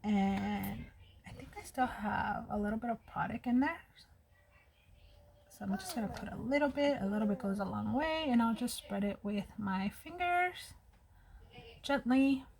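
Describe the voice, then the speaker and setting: A woman's voice: on the high side, normal speed, vocal fry, a little mellow. Chatty woman with a slight lisp. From United States. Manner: chatty, open and personal. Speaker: vlogger. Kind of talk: casual chat while doing makeup.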